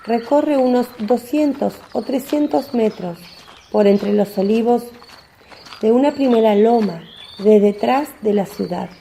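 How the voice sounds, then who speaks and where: A woman narrating a scripted religious story in a measured, descriptive reading. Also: on the high side, vocal fry, warm tone, a little hiss or hum.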